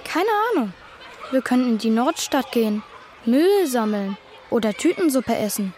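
A woman's voice: a little high, expressive, moderate pace, a little mellow, some chatter behind, slight background noise, close to an everyday voice. A woman playing a cheeky, mocking schoolboy in a German children's radio play.

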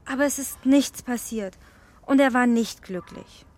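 Young fairy pupil , actress, children's audio drama: fairly high pitch, blunt, unsure of herself, kind underneath. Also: female voice, unhurried, a little mellow, natural voice. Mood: dejected. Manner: self-deprecating, matter-of-fact. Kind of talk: children's radio play acting.

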